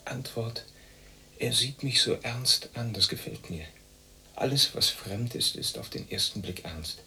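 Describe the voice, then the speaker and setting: An actor playing a sardonic, restless young East German loner in a radio drama, speaking in a clipped deadpan. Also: male voice, medium pitch, unhurried, husky, soft background music, full character voice, rough recording. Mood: brooding, musing. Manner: clipped, deadpan, asks and answers himself. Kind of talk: radio drama acting, part inner monologue.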